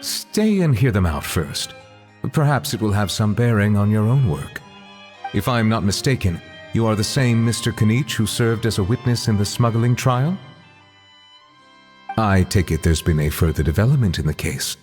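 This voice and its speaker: Man acting a dignified, lowish-voiced chief judge. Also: expressive, darkish tone, faint music behind, lightly acted. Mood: calm, formal. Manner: formal, measured, courteous. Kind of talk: video game cutscene voice acting.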